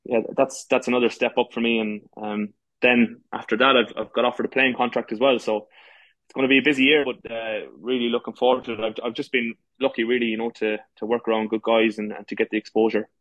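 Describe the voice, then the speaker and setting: Irish man, thirties, quick flat delivery, dry sound, stumbles and restarts. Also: medium pitch, no echo, highs cut off. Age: 30s. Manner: many false starts and fillers. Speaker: podcast guest, goalkeeper and coach. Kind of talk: casual interview talk.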